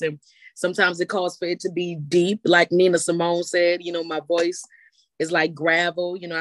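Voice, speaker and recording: A woman's voice: on the low side, dry recording. A woman, a singer and poet answering interview questions in a loose, rambling way, often restarting her words. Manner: rambling, repeats words when starting.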